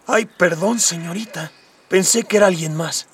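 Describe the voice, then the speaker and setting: An actor playing a hapless, panicky Earthling castaway in a comic radio soap, plaintive and groaning. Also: male voice, high voice, moderate pace, fairly bright tone, far from the mic, slight background noise. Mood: apologetic.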